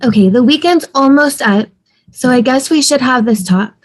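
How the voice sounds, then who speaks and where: A woman's voice: sits high, lively intonation, moderate pace. A woman playing a hopeful, practical young wife in a conversational table read over a video call.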